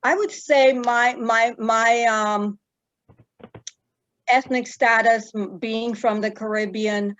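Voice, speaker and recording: A woman in her fifties from Jamaica, an attorney answering interview questions in a measured way with many fillers and pauses. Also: a little high, fairly even intonation, fairly bright tone, dry recording, highs cut off.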